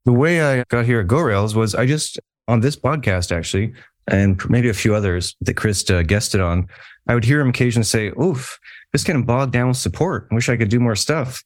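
A man's voice: mid-range voice, fairly quick, no echo, studio quality. A Canadian man with a Canadian accent, a guest on a podcast, who chats in an easygoing way with self-deprecating humor. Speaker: customer support engineer, guest. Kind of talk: casual podcast chat.

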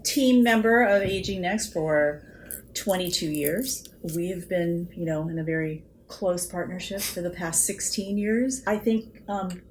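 A woman's voice: fairly low pitch, a little slow, slight background noise, poor audio. A woman answering conversationally in an interview as a departing nonprofit chief executive.

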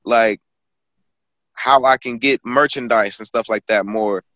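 A man's voice: mid-range voice, a little slow, pushed and bright, dry recording, dull audio. A man in his twenties, a guest author and poet, talking casually in a rambling storytelling way, full of fillers and restarts. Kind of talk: casual conversation.

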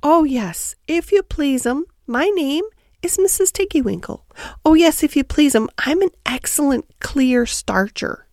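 A woman's voice: high-pitched, expressive, a little slow, a little mellow, no room sound, studio quality, pitched above the performer's own voice. A woman voicing an eager, polite, stout little hedgehog washerwoman from a children's storybook, chatty, fussy and deferential.

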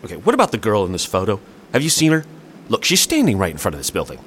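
Sardonic, stubborn man, very animated delivery. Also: lowish voice, quick pace, a little hiss or hum. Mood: insistent. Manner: sardonic, insistent. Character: worried, sarcastic, stubborn brother seeking his sister. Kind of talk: audio play acting.

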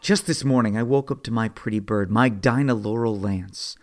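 A man playing a wry, lovestruck 38-year-old vigilante hero who narrates his story in a superhero audio drama, with a husky, self-deprecating delivery. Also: lowish voice, moderate pace, lightly acted. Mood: weary, reflective.

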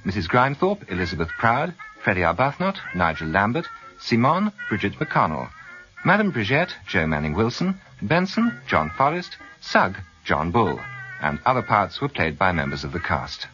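Male radio announcer for end credits, low and very animated, over music on a vintage recording. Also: normal speed, dull audio. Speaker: closing announcer.

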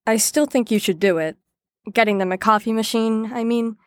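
An actress playing an earnest, dutiful female clinician in an audio drama, measured and clinical. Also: fairly high pitch, dry recording, studio quality, lightly acted. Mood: earnest, persuading.

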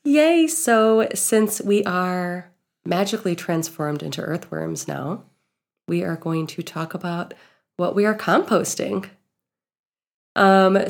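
A woman co-hosting a casual podcast in a fairly low voice with plenty of fry. She sounds slightly nasal, recovering from an illness with a cough, and talks in a reflective way. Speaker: podcast co-host, healing coach and speaker.

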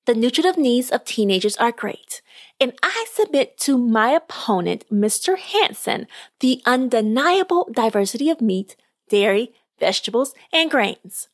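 A small, confident teenage girl argues her point, as a woman reading a story puts her on. Her delivery is pointed and fairly high. The sound is dry and close. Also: very animated, normal speed, no room sound. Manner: pointed, argumentative.

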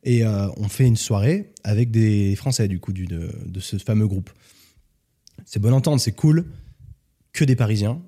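A man from France, a podcast guest, telling stories in a relaxed, casual chat. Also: on the low side, moderate pace, breathy edge. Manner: relaxed storytelling, animated when joking.

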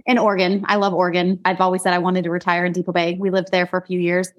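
A woman's voice: lowish voice, completely flat delivery, very fast, highs cut off. A woman in her early forties from Idaho, chatting casually as an interview guest, laughing often and restarting her sentences. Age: 40s. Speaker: guest, singer-songwriter.

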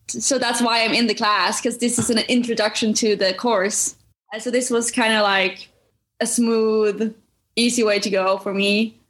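A woman's voice: a little high, fairly bright tone. Young woman, friendly and a little hesitant. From Norway. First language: Norwegian. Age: young adult. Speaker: student guest. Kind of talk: casual interview answers.

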